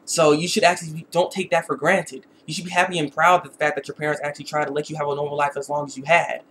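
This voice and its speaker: A man's voice: on the high side, little pitch movement, quick pace, a bit bright, slight background noise, natural voice. A man voicing a reasonable, weary young hero advising his successor in an earnest, informal, lecturing way. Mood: earnest, reproving. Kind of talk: plain imagined speech in a review.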